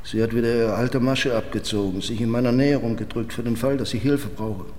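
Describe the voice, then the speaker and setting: An actor playing a loyal, casual-talking robber in a German radio crime drama. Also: male voice, mid-range voice, fairly even intonation, fairly quick, a little mellow, slight background noise, lightly acted. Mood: tense, concerned. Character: male robber, loyal to his jailed girlfriend. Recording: long reverb with music underneath.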